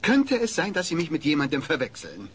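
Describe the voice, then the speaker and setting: A polite awards presenter in an audio drama. He announces ceremonially in a fairly high voice, with slight background noise. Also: huge pitch swings, brisk. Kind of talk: ceremonial stage announcement, acted.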